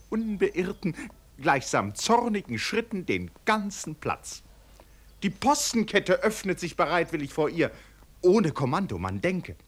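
An excitable onlooker narrating a radio play live. The voice is husky and a bit high, swinging animatedly with theatrical, flustered exclamations. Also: male voice, exaggerated voice. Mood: wondering. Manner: breathless exclamations, repeats himself, theatrical. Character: flustered, excitable male eyewitness narrator at a parade.

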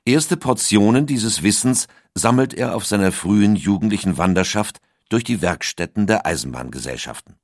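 A man reading a documentary script in measured storytelling narration. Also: on the low side, lively intonation, dry recording, studio quality.

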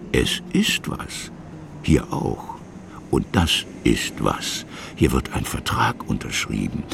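A brooding radio drama narrator with an extremely low, gravelly voice. He delivers scripted literary lines theatrically, with lively swings of tone. Music plays behind him. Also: exaggerated voice. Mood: hushed wonder. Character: brooding narrator man of art and history.

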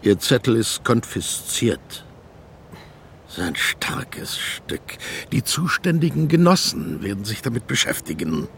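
An actor playing a stern, suspicious middle-aged army captain in a radio drama, with a husky, gravelly voice and an officious, sardonic way of giving orders. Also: male voice, medium pitch, big pitch swings, measured pace, slight background noise. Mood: suspicious, menacing. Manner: clipped commands, officious and sardonic. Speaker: company commander.

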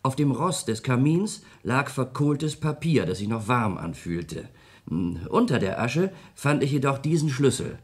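An actor playing a dutiful detective's assistant in a vintage German radio crime drama, halting and deferential as he reports. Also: medium pitch, big pitch swings, husky. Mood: earnest, halting. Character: hesitant, dutiful detective's snooping male assistant reporting back.

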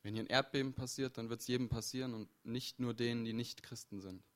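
Man, mid-pitched voice, live sermon interpreter, phrase-by-phrase delivery, small fillers. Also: steady tone, fast talker. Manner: renders each phrase right after the preacher, with small fillers and self-corrections. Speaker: church interpreter.

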